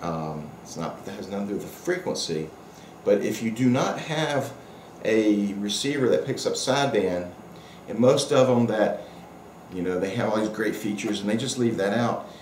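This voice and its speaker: A man's voice: fairly low pitch, lively intonation, moderate pace, a little mellow. A middle-aged or older man giving a casual, rambling, unscripted product review, explaining things with many asides as an experienced hobbyist. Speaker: amateur radio operator reviewing a radio. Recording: roomy echo, faint background noise.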